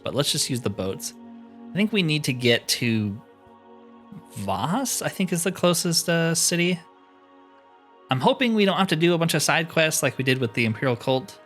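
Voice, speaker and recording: A man's voice: medium pitch, fairly bright tone. A man giving casual, informal commentary on a game playthrough, musing aloud to viewers with mild exclamations. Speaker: gaming channel host.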